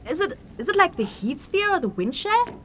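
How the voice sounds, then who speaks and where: A woman playing a lazy, complaining but playful young traveler in a fantasy audio drama, with a whiny, informal, expressive delivery. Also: very high-pitched, moderate pace, slight background noise, heavily acted. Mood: curious, playful.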